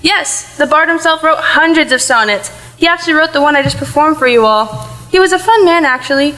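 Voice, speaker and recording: A woman's voice: high-pitched, animated, a little fast, sharp tone, low-quality recording. A woman acting in a school audio play as a theatrical, eager English teacher with a declamatory, chiding streak. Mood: bright, encouraging.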